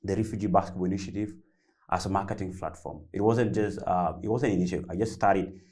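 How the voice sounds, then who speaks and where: Deep-voiced young man, halting speech. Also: steady tone, a little mellow. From South Sudan. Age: young adult. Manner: halting with many repeats and fillers, loose grammar. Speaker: panelist, youth founder. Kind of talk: panel discussion, personal story.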